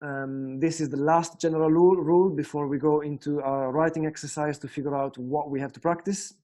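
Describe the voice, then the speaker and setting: Italian man, young, guitar teacher, slightly high and mellow voice, halting and full of fillers. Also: fairly even intonation. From Italy. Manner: hesitant, many fillers and restarts. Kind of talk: casual spoken lesson.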